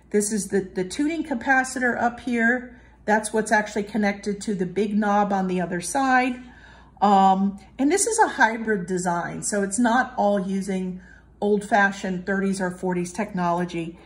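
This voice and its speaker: A woman with a mid-range voice talks through a hands-on demonstration. She explains casually as a hobbyist would, feeling her way through sentences and often rewording them. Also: medium pitch. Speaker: hobbyist reviewer presenting an electronics kit. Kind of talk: casual hands-on product walkthrough.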